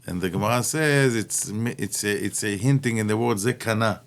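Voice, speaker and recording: Middle-aged Israeli-born rabbi, gravelly low voice, halting delivery full of fillers. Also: male voice. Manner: hesitant, many fillers, mixes in Hebrew terms. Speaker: rabbi, guest speaker. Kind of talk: Torah talk in conversation.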